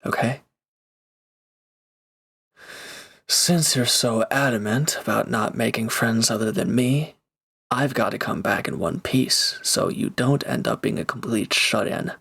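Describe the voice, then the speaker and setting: A man acting in an intimate audio drama as a gruff but caring reformed villain, earnest, halting and awkwardly affectionate. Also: mid-range voice, bright, forceful tone, heavily acted. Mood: defensive, reassuring.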